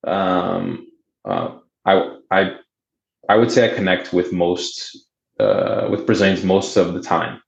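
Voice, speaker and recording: A young man with a lowish voice tells his story in a relaxed way, halting often with fillers and restarts. He learned Portuguese first. The sound is roomy and a bit dull. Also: creaky. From Boston area, United States. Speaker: guest. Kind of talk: casual interview, storytelling. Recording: roomy, dull remote audio.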